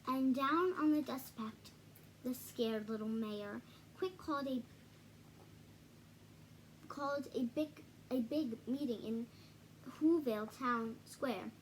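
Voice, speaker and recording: A woman reads a children's storybook aloud in a high voice. Her pace is slow and halting, with repeated words and casual asides. There is faint noise on the recording. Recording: noisy home recording.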